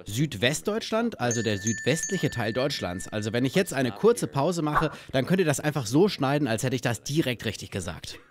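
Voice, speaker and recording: A man's voice: mid-range voice, big pitch swings, moderate pace, hardly any pauses. A man reading a German voice-over translation for an interviewee, easygoing and playful.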